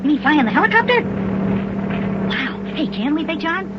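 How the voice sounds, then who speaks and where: A performer voicing a small, eager boy with a squeaky childlike voice in a children's radio serial. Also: very animated, a little fast. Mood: curious, excited. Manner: eager, exclamatory. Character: small excitable boy elf, eager and adventurous. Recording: noisy, muffled old radio recording.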